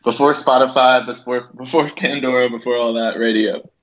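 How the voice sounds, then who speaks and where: A man's voice: mid-range voice, fairly even intonation, moderate pace, fairly bright tone. An American man in his thirties from Texas, chatting casually as an interview guest on a slightly dull recording. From Texas, United States. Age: 30s. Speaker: film director, podcast guest.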